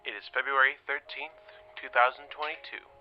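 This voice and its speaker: A man playing a mild-mannered, sceptical astronomer in an audio drama, speaking in a measured way. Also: mid-range voice, steady tone, highs cut off, slight background noise, natural voice. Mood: warm, content. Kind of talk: modern audio drama acting with spoken log entries.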